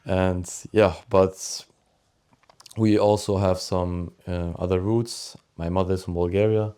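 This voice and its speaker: A man's voice: low voice, little pitch movement, measured pace, dark tone, clear, resonant tone. A young man from Austria chatting casually as a podcast guest. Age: teens to young adult. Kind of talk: casual interview chat.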